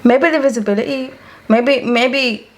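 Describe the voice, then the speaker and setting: A woman's voice: high-pitched, a little slow. A woman in a group discussion, assertive and repeating phrases for emphasis. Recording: faint background noise.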